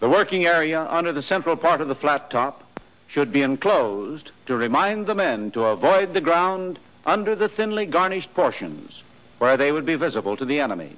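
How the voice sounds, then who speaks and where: A man's voice: fairly high pitch, sing-song, normal speed, fairly bright tone, poor audio. An American man narrating a 1940s training film from a script in a formal, precise, matter-of-fact way.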